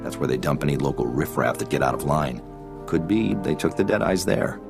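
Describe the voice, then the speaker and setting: Shady spy contact for a game, extremely low, creaky, casual and evasive. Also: male voice, expressive, brisk, music in the background, exaggerated voice. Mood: conspiratorial. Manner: wry, offhand, conspiratorial. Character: world-weary, sly male intelligence contact, casual and evasive. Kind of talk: video game dialogue acting.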